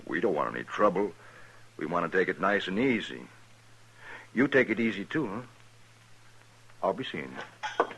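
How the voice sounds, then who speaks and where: An actor playing a cocky, menacing gunslinger who taunts slowly in a 1950s radio drama. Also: male voice, sits low, big pitch swings, fairly bright tone, slight background noise, full character voice, poor audio. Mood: taunting. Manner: smooth, taunting, drawling.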